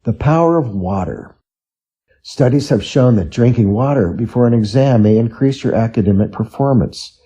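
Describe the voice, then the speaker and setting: Dark, husky man reading scripted tips. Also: mid-range voice, lively intonation, moderate pace. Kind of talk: scripted reading of self-help and study tips.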